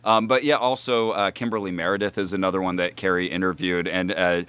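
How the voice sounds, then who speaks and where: A man speaking as a podcast guest, telling anecdotes casually and haltingly as he thinks aloud. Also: sits low, little pitch movement, fairly bright tone, clean tone. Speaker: podcaster being interviewed as a guest.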